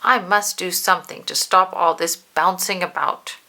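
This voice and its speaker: A woman's voice: on the low side, steady tone, moderate pace, pushed and bright. A woman voicing a tiny, hapless male bouncing creature from a children's storybook, in an exclamatory, plaintive manner. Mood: fed up.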